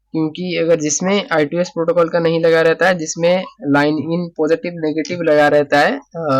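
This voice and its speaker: A man's voice: a little high, no room sound. A man talking through a repair tutorial as a technician, casually explaining, repeating phrases, checking in often and mixing in English technical terms.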